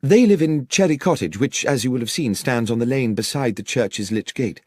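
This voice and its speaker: A man's voice: mid-range voice, animated, normal speed, a little mellow, husky, dry recording. Man voicing an earnest young clergyman, swooping intonation. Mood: earnest, recounting. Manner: articulate, polite, wordy and earnest. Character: anxious, courteous young male country clergyman. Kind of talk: audiobook character dialogue.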